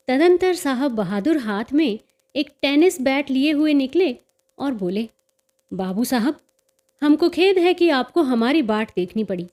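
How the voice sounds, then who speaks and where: High-voiced woman narrating a story. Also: darkish tone. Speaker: storyteller and channel host. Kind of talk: scripted audiobook-style story narration.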